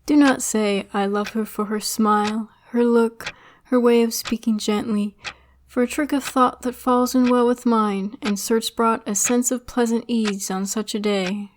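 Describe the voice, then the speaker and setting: A woman giving a dramatic reading of classic love poetry in measured phrases. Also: fairly high pitch, fairly even intonation, slight background noise. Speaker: poetry reader.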